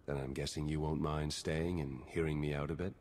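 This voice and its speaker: A man's voice: rumbling low, flat delivery, fairly quick, darkish tone. An actor playing a wry, cynical male spy in a video game, dry and understated. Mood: conspiratorial. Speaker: intelligence agent character. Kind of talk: video game cutscene acting. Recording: long hall reverb, noisy ambience behind.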